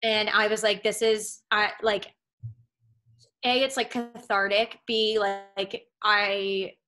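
A woman's voice: medium pitch, little pitch movement, slow, sharp tone. A young woman from Massachusetts with a Boston accent, chatting casually as an interview guest from home, rambling with lots of "like" and "um". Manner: rambling, heavy use of "like" and "um". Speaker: actress guest.

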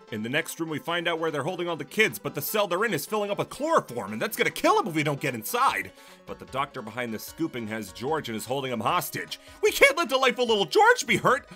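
A man narrates a video game review in a bright, crisp voice that sits a little high. His pitch swings widely as he drops sarcastic jokes between plain explanations. Faint music plays underneath. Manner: sarcastic, jokey asides.

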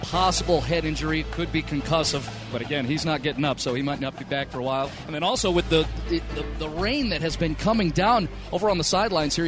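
A man doing radio sideline reports from a football stadium, speaking in a brisk, informative and conversational way. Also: fairly high pitch. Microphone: handheld microphone with headset.

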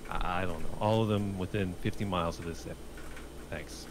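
A low-pitched male detective played by a man puts terse questions in a film script table read. He is businesslike, and the line is clearly noisy. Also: steady tone, normal speed, lightly acted.

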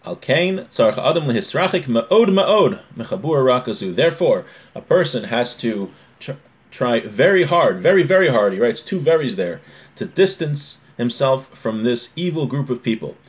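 A man's voice: mid-range voice, very animated, normal speed. A man in his forties teaching an informal religious lesson, reading aloud and explaining, often repeating and rephrasing. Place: small home room, indoors.

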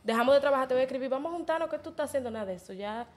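A woman's voice: high voice, animated, very fast, a little mellow, slight background noise. A young Dominican woman guest on a studio talk show, speaking bluntly and with self-assurance into a studio mic. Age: young adult. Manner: blunt, self-assured, often says "mi amor". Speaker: interview guest, dancer. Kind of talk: casual interview talk. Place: podcast studio. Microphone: studio mic on boom arm.

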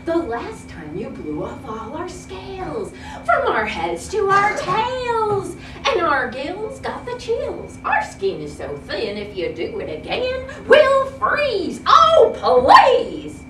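Pleading fish, voiced by a woman: complaining, animated, storybook style, distant roomy recording. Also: very high voice, slow, hardly any pauses, voice pushed up, full character voice. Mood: fretful pleading. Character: fretful fish pleading with an elephant. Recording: roomy, noisy classroom, distant mic.

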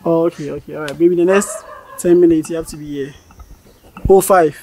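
A man's voice: a little high, steady tone, brisk, mellow, slight background noise, close to an everyday voice. An actor playing an easygoing young man who talks casually with a friend. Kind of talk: scripted skit acting.

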